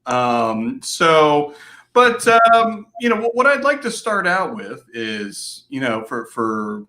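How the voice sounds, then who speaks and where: A man's voice: mid-range voice, big pitch swings, takes it slowly, bright, forceful tone. An American man moderating an interview in a casual, chatty style. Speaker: host, moderator. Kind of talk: casual online interview hosting.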